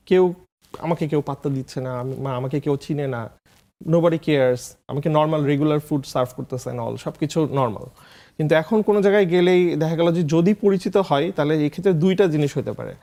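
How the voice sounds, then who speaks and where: A man's voice: on the high side, a little mellow. A man with a Bangladeshi accent talking casually as an interview guest, often switching into English phrases. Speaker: interview guest, food vlogger.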